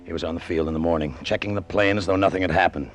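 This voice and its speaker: A man's voice: low-pitched, steady tone, racing, close to an everyday voice. An American man playing a haunted, brooding cargo pilot and narrating his story in old-time radio drama style. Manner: clipped, brooding, hard-boiled. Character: tense, haunted hard-boiled cargo pilot, greedy and brooding. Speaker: actor narrating in character. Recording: vintage radio drama with music underneath.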